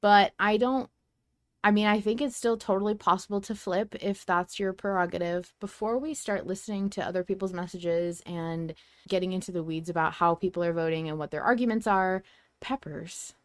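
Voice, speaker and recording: A woman's voice: mid-range voice, moderate pace, no echo, studio quality. A woman hosting a podcast, talking in a casual, conversational way and reacting readily. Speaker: podcast host.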